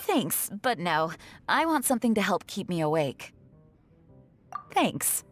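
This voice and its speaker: A woman's voice: lowish voice, big pitch swings, measured pace, faint music behind. A woman playing an earnest, dutiful young leader in a video game, formal and sincere. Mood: reserved.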